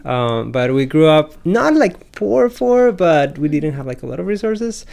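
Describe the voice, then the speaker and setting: A man's voice: a little high, big pitch swings, a little slow, gravelly, darkish tone. A young man from Costa Rica, an artist chatting as a guest in a laid-back, hesitant way. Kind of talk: casual interview chat.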